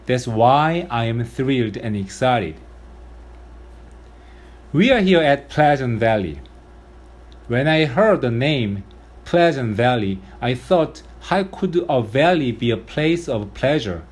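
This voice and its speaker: A male pastor preaches with a strong Korean accent, speaking in an earnest, measured way. He lifts his voice for emphasis now and then. His pitch sits in an ordinary middle range for a man. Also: animated. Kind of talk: sermon.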